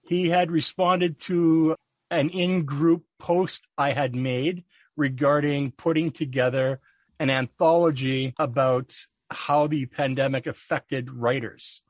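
A man hosting a podcast, reading a scripted introduction and then welcoming his guest, with a measured, deliberate delivery. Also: on the high side, steady tone, no echo. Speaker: podcast host.

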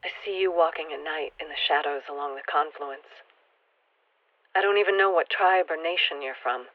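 A woman's voice: low voice, normal speed, phone-quality sound, slight background noise, lightly acted. An actress playing an earnest, reflective newcomer in a radio play monologue, questioning whether she belongs. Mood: wistful. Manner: questioning, musing.

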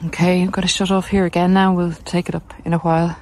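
A woman with an Irish accent giving a casual, explanatory monologue in a measured, halting way while out on a walk. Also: on the low side, flat delivery, darkish tone. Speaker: podcast host and narcissism recovery coach. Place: outdoors on a coastal cliff walk. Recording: noisy outdoor handheld recording.

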